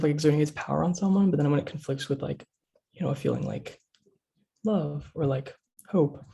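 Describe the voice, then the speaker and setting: A man speaking casually on a panel over a video call, with a husky, creaky voice and a hesitant, thoughtful manner. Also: a little high, mellow, a little hiss or hum. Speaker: poet on a reading panel.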